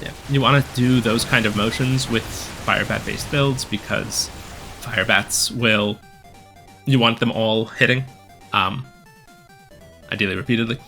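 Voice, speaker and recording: A man with a low voice talks through a game build, slightly hoarse from a cold. His voice carries a gravelly fry and many relaxed ums. Loud game music plays underneath. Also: measured pace, long pauses. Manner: relaxed, explanatory, frequent ums. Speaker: gameplay guide commentator. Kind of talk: casual gameplay commentary.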